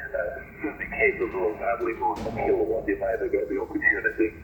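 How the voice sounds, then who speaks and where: A man's voice: lowish voice, little pitch movement, fast talker, narrow, tinny audio. A man chatting unscripted as an amateur radio operator over shortwave radio, rambling and hesitant in a chatty way. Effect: shortwave radio transmission. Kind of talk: unscripted two-way ham radio chat. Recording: crackly, noisy, echoey shortwave radio reception.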